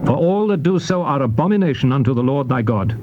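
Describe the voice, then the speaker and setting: A British man narrating scripture in a measured, formal, declamatory style on a dull, noisy old cassette recording. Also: mid-range voice, lively intonation, a little mellow. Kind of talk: solemn dramatized Bible reading.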